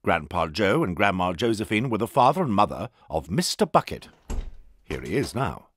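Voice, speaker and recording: A man narrating a dramatised children's story in an expressive storytelling way. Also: on the low side, moderate pace, husky.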